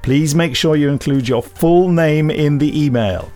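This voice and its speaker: A man's voice: fairly high pitch, unhurried, dark tone, faint music behind. A man hosting an audio drama podcast, reading news with wry jokes. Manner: wry, jokey. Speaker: podcast host.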